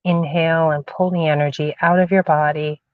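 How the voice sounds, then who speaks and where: A woman's voice: deep voice, steady tone, fairly quick, dry recording. Chatty woman livestreaming from a car. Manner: chatty, calls viewers darling and sweetheart. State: recovering from a cold, cough drop in mouth. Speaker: spiritual channeler and host. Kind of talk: casual livestream chat and guided meditation. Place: inside a parked car. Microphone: phone. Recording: dull lo-fi phone audio.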